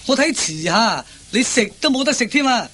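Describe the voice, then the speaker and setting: A high-pitched, needling male pal. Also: very animated, normal speed, sharp tone, a little hiss or hum, heavily acted. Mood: goading. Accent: Cantonese. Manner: needling, emphatic, drawling. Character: teasing, goading male friend of a henpecked husband. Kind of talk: Cantonese radio comedy play acting.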